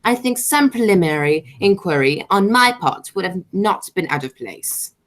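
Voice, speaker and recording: A woman's voice: lowish voice, animated, normal speed, natural voice. A woman playing a haughty, stern aristocratic mother and aunt in an amateur radio play, declaiming with snobbish authority.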